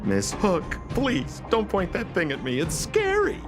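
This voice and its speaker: An actor playing a slippery, cowardly, smooth-talking dealer in a video game, wheedling and theatrically nervous. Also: male voice, sits high, wildly swooping pitch, unhurried, music in the background, heavily acted. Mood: nervous, pleading.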